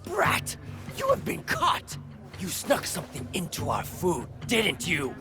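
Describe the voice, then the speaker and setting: A man playing a rough, hot-tempered thug in a video game, loud, blustering and insulting. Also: high voice, huge pitch swings, measured pace, heavily acted. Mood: angry, accusing. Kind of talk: dramatic game voice acting. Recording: loud music behind.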